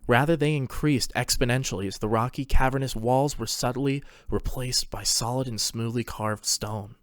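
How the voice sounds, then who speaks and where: A man narrates a story from a script. His voice is medium in pitch, recorded very dry with no room sound around it. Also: moderate pace, no room sound.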